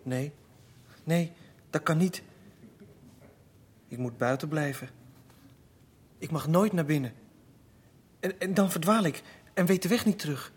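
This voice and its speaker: An actor playing a withdrawn, wounded son in an institution, sullen and plaintive like a child, in a Dutch radio play. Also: male voice, on the high side, measured pace, pauses often, husky. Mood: childlike, plaintive.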